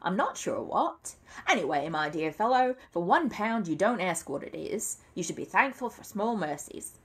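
Lady playing a haughty storybook junk man, sarcastic and grudging, swoopy pitch, bit husky. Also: big pitch swings, moderate pace, exaggerated voice. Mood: evasive, grudging. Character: fussy, sarcastic, grudging male junk dealer.

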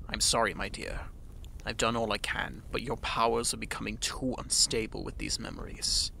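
A man voicing a solemn, regretful psychic mentor in an ASMR roleplay, gentle and formally measured. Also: on the low side, vocal fry, a little hiss or hum, pitched below the performer's own voice, exaggerated voice, poor audio.

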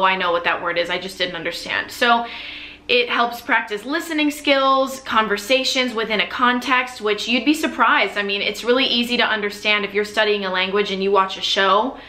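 Young woman, American accent, chatty and emphatic, bright crisp-edged tone, casual vlog, echoey room at home. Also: medium pitch, a little fast. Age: young adult. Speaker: vlogger. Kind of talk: casual chat to camera, sharing recommendations. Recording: roomy indoor echo.

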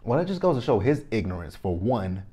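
A man from Texas in his thirties with a Texan accent, giving an animated, opinionated reality TV recap straight to camera on a lapel mic in a small room. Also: lowish voice, brisk. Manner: animated, repeats phrases, talks straight to viewers. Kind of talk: casual opinionated review to camera. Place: small indoor room, plain backdrop.

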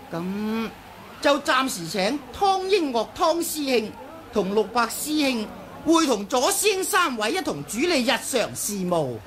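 A woman voicing a scheming male sect leader who speaks in measured, courteous, formal phrases, in a 1980 radio drama. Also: fairly high pitch, slight background noise. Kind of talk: 1980s radio drama acting.

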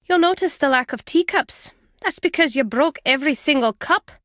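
A woman's voice: sits high, lively intonation, normal speed, fairly bright tone, dry recording. An actress playing a wry, guarded older woman with a British accent, a former spy in a video game, dry and fond in manner. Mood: wry, reminiscing. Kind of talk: video game character dialogue.

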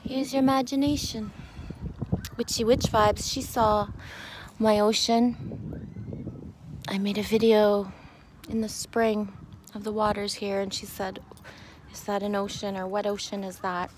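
A woman's voice: mid-range voice, flat delivery, unhurried, long pauses, low-quality recording. A woman musing dreamily and casually to a phone outdoors, swearing freely. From Canada. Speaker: vlogger. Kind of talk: rambling, musing casual chat. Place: outdoors on a lakeside beach. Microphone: handheld phone. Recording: outdoor phone recording with faint noise.

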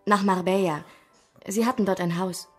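A medium-pitched young woman played by an actress speaks as a polite travel agent. It is radio drama dialogue, with faint music underneath. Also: fairly even intonation, moderate pace, natural voice. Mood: helpful, earnest. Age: young adult.

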